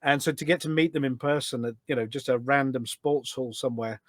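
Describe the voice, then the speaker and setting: A man's voice: medium pitch, a little fast, no echo, clean studio sound. A middle-aged man chatting casually as a podcast guest, enthusiastic and full of anecdotes. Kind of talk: casual chat. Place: indoors at a desk at home.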